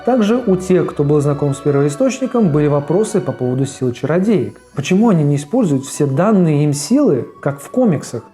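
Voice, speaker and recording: A man's voice: on the high side, sing-song, fairly quick, hardly any pauses, warm tone. A man hosting a cartoon review in a casual video essay narration style. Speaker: host of a cartoon review show. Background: background music under the voice.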